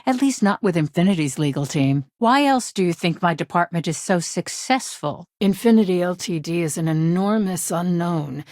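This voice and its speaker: A woman's voice: lowish voice, expressive, normal speed, few pauses, a little mellow, no echo, close to an everyday voice. A woman playing a shrewd, no-nonsense corporate legal chief in a video game, speaking in a direct, businesslike and dry manner. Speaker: head of a corporate legal department.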